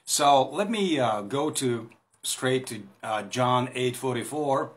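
A man's voice: medium pitch, expressive, slow. A man in his fifties with a Russian accent, a native Russian speaker, giving an informal Bible talk to a phone camera in a small room, hesitant with many fillers and restarts. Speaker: Bible teacher. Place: small indoor room against a plain wall. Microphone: phone camera at arm's length.

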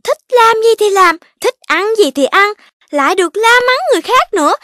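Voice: very high voice, animated, normal speed, very noisy. An actor voicing a polite, dreamy, playful little child in a children's cartoon. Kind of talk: children's animated cartoon voice acting. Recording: music under the voice.

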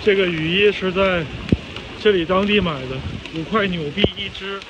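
A man vlogging casually on a hiking trail in heavy rain, talking into a handheld phone with excited exclamations. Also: high-pitched, normal speed, lo-fi sound, noisy background. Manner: excited exclamations, chatty asides. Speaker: travel vlogger. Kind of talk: casual on-the-go vlog chat.

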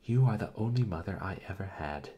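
A man's voice: low-pitched, lively intonation, brisk, warm tone, breathy edge, highs cut off, pitched above the performer's own voice. A man reading an audiobook, voicing a passionate young woman who pleads and then breaks into sobs. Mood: indignant pleading.

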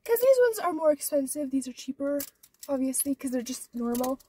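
Very high-voiced stuffed-up teenage girl. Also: very animated, fairly quick, warm tone, far from the mic. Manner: rambling, many "like" and "or whatever" fillers. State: stuffed-up nose from allergies. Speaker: vlogger. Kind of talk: casual vlog chat. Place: inside her car.

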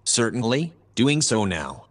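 An actor playing a calm, analytical spaceship AI, narrating in a measured, formal, precise way. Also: male voice, mid-range voice. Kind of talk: audio drama narration.

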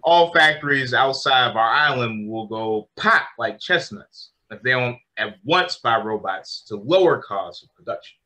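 A man plays a brisk, cost-minded business manager in an old-time radio play. His mid-pitched voice is bright and forceful. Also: expressive, low-quality recording. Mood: assured.

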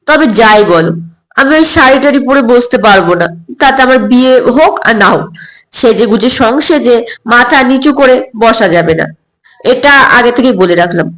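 A woman's voice: on the high side, expressive, moderate pace, a bit bright, poor audio. A woman voicing a headstrong, blunt young woman in an audio story, breezy and talkative. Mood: defiant.

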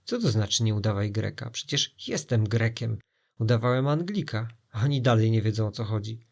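A puzzled, sneaky stowaway in a storybook adventure, read by a male narrator. He is easily flustered and wonders to himself in a gravelly voice. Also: lowish voice, expressive, a little fast, darkish tone. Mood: indignant.

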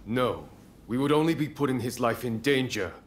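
An actor playing a stern, measured samurai commander in a video game cutscene. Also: male voice, mid-range voice, lively intonation, a little hiss or hum, lightly acted.

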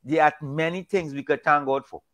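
A man's voice: on the high side, steady tone, a little fast, fairly bright tone. Older Trinidadian man, rambling. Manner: rambling, repeats words, folksy. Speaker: discussion guest. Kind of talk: casual faith discussion. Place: indoors at home, virtual backdrop. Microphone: video call webcam.

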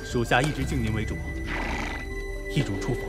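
A man's voice: mid-range voice, a little fast, over loud music, natural voice. A man playing a loyal, hot-blooded imperial bodyguard in a Chinese period audio drama, earnest and intense.

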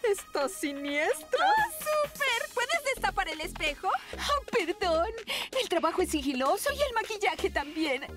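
Vain young cartoon diva: piercingly high, theatrical, impatient, excitable. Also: female voice, measured pace, loud music behind the voice, full character voice. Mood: eager, indignant. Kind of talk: dubbed cartoon acting.

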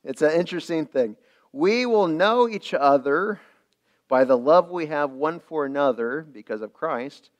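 Earnest preaching man, higher voice. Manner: earnest preaching with repeated phrases and restarts. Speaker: pastor. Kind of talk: midweek sermon.

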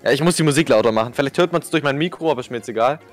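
A young man chatting casually while playing a co-op video game, his voice prone to climb when flustered. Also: rapid-fire. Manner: voice climbs high when panicking. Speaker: let's player. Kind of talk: casual co-op gaming banter. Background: video game music.

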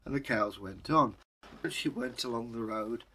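A man's voice: mid-range voice, moderate pace, pushed and bright, husky, low-quality recording. English man telling a folktale. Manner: wry, conversational asides. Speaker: storyteller, channel host. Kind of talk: animated oral folktale storytelling.

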